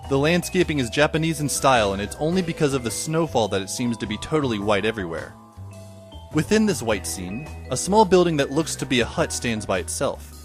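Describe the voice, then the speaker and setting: A man's voice: mid-range voice, expressive, brisk, soft background music, natural voice. A man playing a wry teenage schoolboy who narrates an audio drama in a dry, descriptive way.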